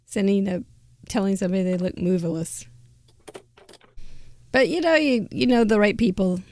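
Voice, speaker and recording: A woman's voice: medium pitch, moderate pace, a little mellow. A woman hosting a live crafting show, chatting playfully and laughing often. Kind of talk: casual live-stream chat.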